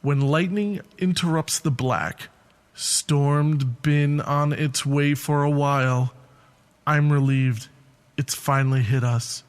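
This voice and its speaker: A man giving a literary reading of poetic prose. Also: mid-range voice. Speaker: reader of a literary piece.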